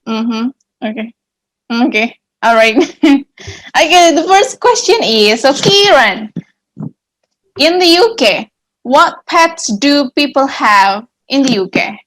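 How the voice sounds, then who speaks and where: A woman's voice: high-pitched, lively intonation, slow, a bit bright, rough recording. A woman from Indonesia, a teacher asking questions in a friendly, curious way, with lots of small acknowledgements. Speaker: English and Indonesian teacher, co-host. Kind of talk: casual conversational Q&A.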